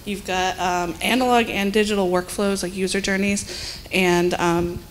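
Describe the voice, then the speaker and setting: A woman's voice: medium pitch, steady tone, normal speed, rough recording. A woman product lead talking casually as a panelist, with some background noise on the recording.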